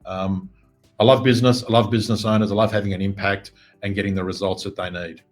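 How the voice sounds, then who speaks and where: A man's voice: lowish voice, flat delivery, quick pace, faint music behind. A middle-aged man, a business coach answering interview questions in an earnest, reflective way.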